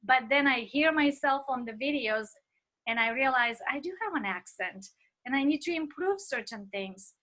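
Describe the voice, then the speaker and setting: Bright-voiced accented woman teaching a class. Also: high-pitched, animated, normal speed, low-quality recording. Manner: speaks with an accent; earnest, personal anecdotes, frequent fillers. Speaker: ministry instructor. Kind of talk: recorded online class lecture.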